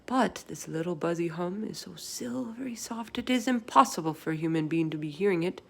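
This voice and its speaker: A woman's voice: on the low side, lively intonation, fairly quick, creaky, slight background noise. A woman voicing a big, friendly, earnest male giant in a storybook, speaking emphatically in quaint, muddled grammar. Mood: proud, earnest. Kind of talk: storybook read-aloud character voice.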